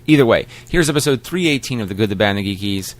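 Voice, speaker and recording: A man hosting a podcast, making informal, halting announcements in an apologetic way. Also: fairly low pitch, lively intonation. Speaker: podcast host. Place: live show at a comic shop, before an audience. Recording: roomy, distant mic, faint noise.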